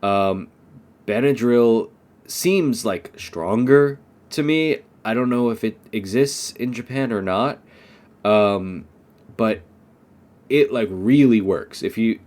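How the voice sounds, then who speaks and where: A young American man speaks in a somewhat low voice, his nose clearly stuffed up from allergies. He talks casually and loosely, with frequent "um"s and "like"s, as the host of an unscripted English lesson. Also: darkish tone. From United States. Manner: rambling, many fillers like "um" and "like".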